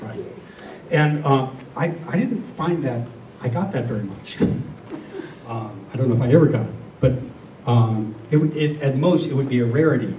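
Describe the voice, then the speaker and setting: A middle-aged or older man giving a reflective spiritual talk to a group, speaking earnestly and deliberately, often repeating phrases. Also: medium pitch, lively intonation, warm tone, highs cut off. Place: room with a listening group. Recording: roomy, distant mic, faint noise.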